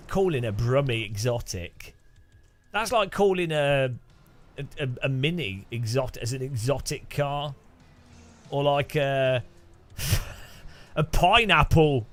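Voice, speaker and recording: A man with an English Midlands accent, commentating a sim racing live stream with jokey, animated banter and sudden high-pitched outbursts. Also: slow pace.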